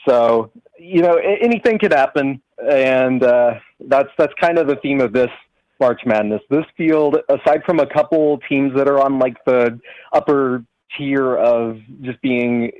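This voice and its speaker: A man's voice: medium pitch, unhurried, dry recording. A man calling in to a sports podcast, speaking hesitantly with many fillers and restarts. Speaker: caller. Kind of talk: phone-in chat. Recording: slightly dull call-in line.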